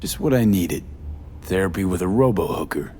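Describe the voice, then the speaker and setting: A man's voice: low voice, expressive, moderate pace, warm tone, a little hiss or hum. A man playing a jaded, sardonic mercenary in a video game, blunt and guarded, in clipped, terse phrases with dry sarcasm.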